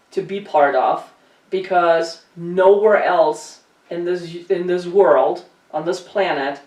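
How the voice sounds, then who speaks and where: A woman's voice: lowish voice, a little mellow. A woman from Germany hosting a casual talk show, speaking emphatically and earnestly and repeating phrases to drive home her opinions. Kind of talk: casual conversational opinion chat. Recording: roomy echo, distant from mic.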